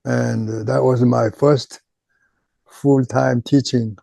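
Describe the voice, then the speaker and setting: Halting, gravelly older man with a Korean accent. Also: medium pitch, steady tone, warm tone, no echo, clean studio sound. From Japan. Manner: halting, repeats words, frequent uh pauses. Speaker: retired chemistry professor. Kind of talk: oral history interview.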